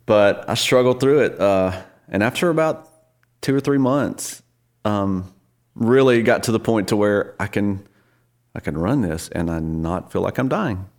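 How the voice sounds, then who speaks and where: Very low-voiced man in his forties. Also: expressive, unhurried, darkish tone. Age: 40s. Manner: easygoing, self-deprecating, many ums and you-knows. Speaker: worship leader, podcast guest. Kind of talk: casual interview conversation.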